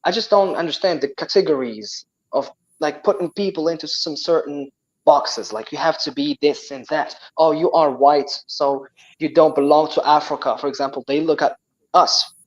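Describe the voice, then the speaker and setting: A young man with a Moroccan accent and Tamazight as his first language talks in a casual chat. He is animated and often restarts and repeats words. His voice sits a bit high for a man. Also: normal speed, low-quality recording. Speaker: guest.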